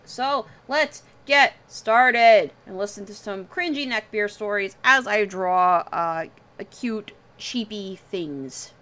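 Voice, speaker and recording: A woman's voice: medium pitch, slow, sharp tone. A woman livestreamer chatting casually while she draws, rambling and self-deprecating, with casual swearing. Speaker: livestream host.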